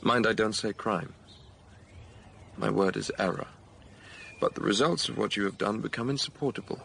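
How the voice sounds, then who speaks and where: An actor playing a commanding, brooding gentleman with a dark secret in a period radio drama, speaking tersely. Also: on the low side, normal speed, vocal fry, slight background noise. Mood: confiding, troubled.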